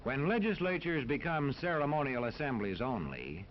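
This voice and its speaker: An American man narrating a scripted 1940s educational film in a formal, authoritative, measured manner. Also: mid-range voice, sing-song. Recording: dull 1940s film soundtrack, faint noise.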